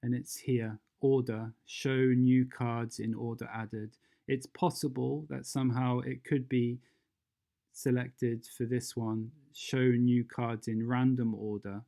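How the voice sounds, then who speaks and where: A presenter narrating a casual step-by-step software tutorial, informal and instructional, thinking aloud along the way. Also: medium pitch, flat delivery, takes it slowly, creaky.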